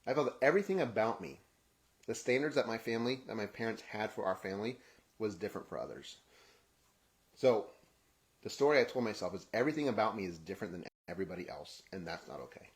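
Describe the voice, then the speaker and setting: Brief: man raised in Kenya, low voice, reflective solo talk, halting restarts, soft trailing endings. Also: animated. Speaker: podcast host. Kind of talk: personal reflective monologue.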